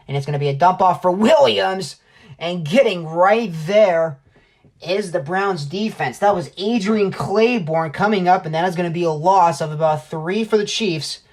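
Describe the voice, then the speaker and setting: A young man giving play-by-play football commentary as a sportscaster. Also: a little high. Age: young adult.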